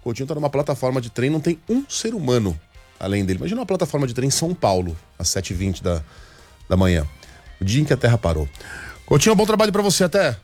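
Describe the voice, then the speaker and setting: A man hosting a radio news show, teasing and joking with colleagues. His pitch swings widely as he plays around, and faint music sits under him. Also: fast talker. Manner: playful, teasing, animated. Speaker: radio host. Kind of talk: joking studio banter.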